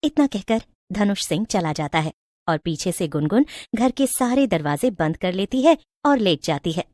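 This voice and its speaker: A woman's voice: mid-range voice, sing-song, normal speed, darkish tone, no room sound. A woman narrates a scripted moral story. She works as the storyteller, and her voice is recorded very dry and close.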